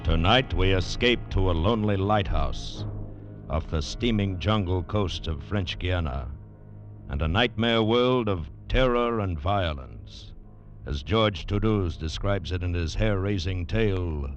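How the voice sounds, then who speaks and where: An old, muffled radio recording carries an ominous announcer. His low voice moves slowly and portentously, in dramatic 1940s thriller style, over faint music. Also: expressive.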